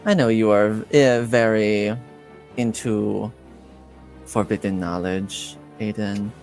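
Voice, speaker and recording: A man's voice: lowish voice, fairly even intonation, darkish tone, faint music behind. A man voicing a poised, well-informed middle-aged noblewoman in a tabletop game, speaking formally with cool condescension. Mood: cool, knowing. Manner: formal, measured, coolly condescending.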